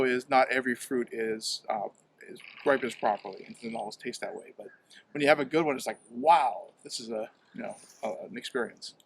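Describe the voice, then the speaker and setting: Man, from Hawaii, halting speech with repeated words, outdoors in a yard, faint background noise. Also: mid-range voice. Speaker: home fruit grower. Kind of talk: casual interview.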